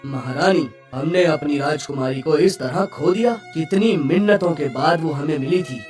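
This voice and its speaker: A woman's voice: low voice, moderate pace, a little mellow, lightly acted. A woman voicing an anguished king and doting father in a dramatic, emotive cartoon story style. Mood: grief-stricken. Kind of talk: Hindi cartoon story dialogue. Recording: slightly distant, faint music.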